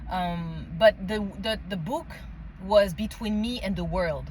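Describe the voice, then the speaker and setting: A woman's voice: medium pitch, measured pace, darkish tone, slight background noise, rough recording. A woman from France and Mali with a French accent, telling a personal story casually and emphatically, often checking in with the listener. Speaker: spiritual vlogger sharing personal story.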